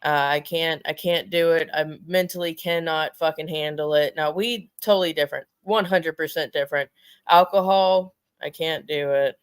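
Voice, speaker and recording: A woman's voice: low-pitched, fairly even intonation, normal speed, smooth, no room sound. A woman chatting casually as a talk show panelist, blunt and swearing freely.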